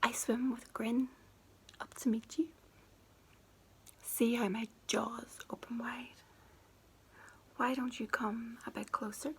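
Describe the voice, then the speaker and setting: A woman's voice: a little high, flat delivery, takes it slowly, long pauses, a bit bright, a little hiss or hum. A woman voicing a sly, grinning shark in a whispered storybook reading. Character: grinning sly shark luring you closer.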